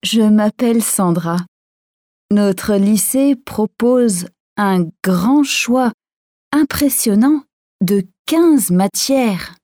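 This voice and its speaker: A woman giving a careful, clearly articulated scripted reading for a language course. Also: medium pitch, drawn-out words, warm tone, no echo, clean studio sound. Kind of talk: slow scripted reading for learners.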